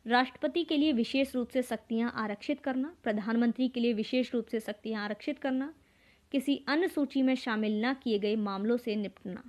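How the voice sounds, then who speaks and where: A woman's voice: a little high, brisk, a little mellow. A woman teacher reading exam questions, options and answers aloud in a methodical way.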